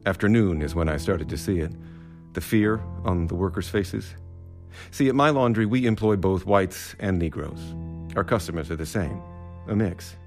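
A mild laundry proprietor recounting events in a radio drama. His voice is low and measured, with faint music behind. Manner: measured, conciliatory storytelling. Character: mild, reasonable laundry owner.

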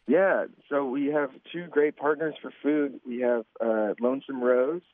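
A man's voice: mid-range voice, a little slow, no echo. A man, an event organizer, talking eagerly in a radio interview with frequent fillers. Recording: tinny phone line.

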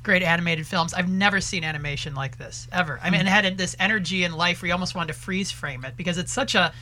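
A man speaking as a guest film critic in an animated studio discussion, with an effusive, enthusiastic manner. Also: sits high, fast, slight background noise. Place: radio studio.

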